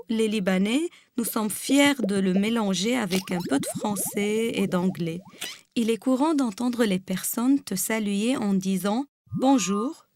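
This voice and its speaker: A woman's voice: mid-range voice, darkish tone, slight background noise. Lebanese woman with a rolled R. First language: Arabic. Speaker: guest reader. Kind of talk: reading a personal essay aloud.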